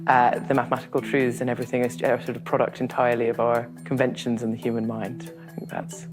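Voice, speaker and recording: A woman's voice: very deep voice, flat delivery, fast, over music. A woman being interviewed in a large brick-walled room, thinking aloud with hesitant restarts. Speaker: interviewed philosopher. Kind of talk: documentary interview.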